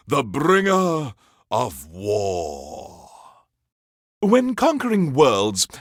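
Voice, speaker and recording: An actor playing a pompous alien warlord who hosts a classical music radio show, savoring each phrase in a grandiose, theatrical way. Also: male voice, medium pitch, no echo, studio quality. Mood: reverent, menacing. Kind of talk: theatrical scripted radio DJ monologue.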